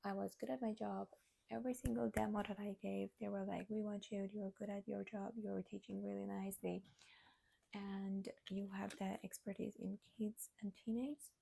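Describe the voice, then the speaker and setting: A woman in her twenties from Iran, a native Armenian speaker, talking candidly to camera in a personal vlog, hesitant and soft, with many fillers and restarts. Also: medium pitch, flat delivery, normal speed, vocal fry, breathy edge. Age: 20s. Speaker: vlogger.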